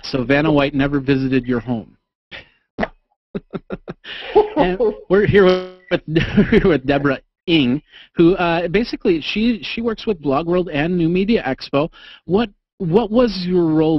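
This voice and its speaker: Male interviewer, middle-aged, conversational, close dry sound with softened top end. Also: on the high side, lively intonation, a little slow, no echo, muffled recording. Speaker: interviewer, host. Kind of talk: casual interview chat. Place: indoor home studio. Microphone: condenser mic on boom arm, close.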